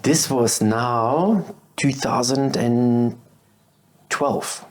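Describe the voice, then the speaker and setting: A man's voice: mid-range voice, drawn-out words. A man in his thirties, a guest on a podcast, chatting casually about his beekeeping business and acting out old conversations with lots of fillers. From Belgium. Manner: chatty, acts out past conversations with many fillers. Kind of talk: casual interview storytelling.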